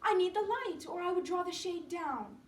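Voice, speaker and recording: Woman playing an anxious, high-pitched young woman. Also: animated, normal speed. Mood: pleading, tender. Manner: emphatic, coaxing, flustered. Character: anxious, fussing, motherly young woman, devoted friend. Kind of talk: solo dramatic storytelling performance. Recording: echoey room, distant mic, background noise.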